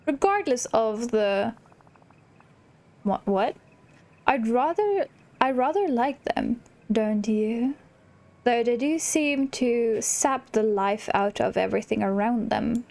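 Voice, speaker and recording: Higher-pitched, unhurried woman gamer. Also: vocal fry, a little mellow. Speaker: gaming channel host. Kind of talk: casual let's play commentary, reading game dialogue aloud.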